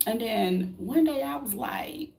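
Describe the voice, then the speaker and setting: A woman's voice: fairly high pitch, expressive, slow, creaky, darkish tone. A woman in her late thirties, from the United States, giving a blunt, preachy motivational talk to camera, full of rhetorical questions and casual swearing. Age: 30s. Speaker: vlogger.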